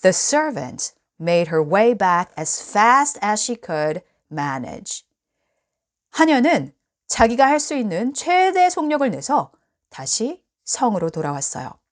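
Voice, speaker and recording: A woman, a native Korean speaker, reading a children's story aloud bilingually in an expressive, deliberate storytelling manner. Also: mid-range voice. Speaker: storybook reader and translator.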